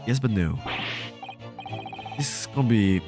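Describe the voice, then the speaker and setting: A man streaming a game, reading the dialogue aloud at a deliberate pace, then chatting playfully to his viewers. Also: on the low side. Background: game music.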